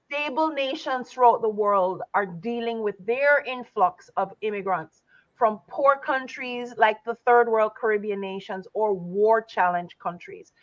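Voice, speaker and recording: A woman interviewer asking measured, encouraging questions over a video call. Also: fairly high pitch, no room sound. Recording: dry, slightly dull audio.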